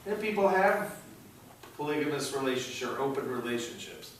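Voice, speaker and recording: A man's voice: medium pitch, expressive, a little fast. A man talking casually in a group discussion, in an echoey room. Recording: echoey room, far from mic, faint noise.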